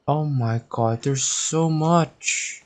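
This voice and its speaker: A young man live-streaming a game, casually commenting and reading aloud in a halting, stumbling way. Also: fairly high pitch. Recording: game music behind the voice.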